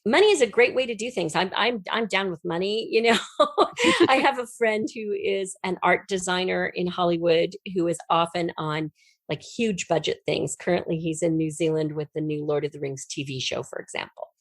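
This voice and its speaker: A woman talking casually as an interview guest, telling anecdotes in an animated way with thoughtful pauses. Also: on the low side, no room sound. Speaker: podcast guest, audio drama writer and producer.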